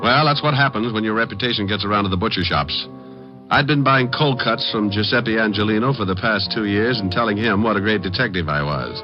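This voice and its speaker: An actor playing a breezy, wisecracking hardboiled private detective with sardonic, slangy patter in old radio drama style. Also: male voice, fairly low pitch, a little fast, over music, lightly acted. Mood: wry narration. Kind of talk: 1940s-50s radio detective drama acting. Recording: muffled vintage radio recording.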